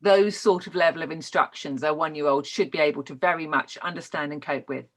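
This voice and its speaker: A woman's voice: low-pitched, fairly quick. British woman teaching, explanatory delivery. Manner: explanatory, checking in with learners. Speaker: trainer teaching child development. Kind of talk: informal online lesson, explaining.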